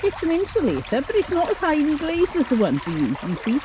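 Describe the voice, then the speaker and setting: A woman muses aloud as she searches a stream bank, her pitch moving lively over a wide range. The rushing stream is clearly heard behind her, out in the open air. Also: noisy background. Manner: curious, musing, asks viewers questions. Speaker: vlog co-host. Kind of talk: casual commentary while mudlarking. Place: outdoors by a woodland stream.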